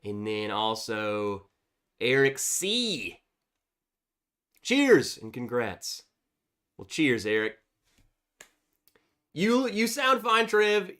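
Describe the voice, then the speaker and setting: A man's voice: mid-range voice, huge pitch swings, drawn-out words, pauses often, sharp tone. A man hosting a casual livestream, reading viewer chats aloud in a chatty, upbeat way, sounding congested from a cold. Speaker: livestream host.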